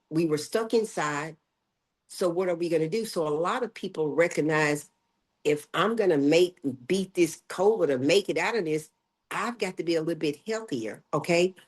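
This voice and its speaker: Low husky older woman, emphatic. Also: a little mellow. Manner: emphatic, acts out quoted voices. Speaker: guest, health educator. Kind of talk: conversational interview.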